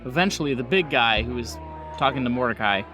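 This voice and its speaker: A man's voice: mid-range voice, animated, fast. A man running a tabletop role-playing game, improvising narration and explaining rules as game master. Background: music.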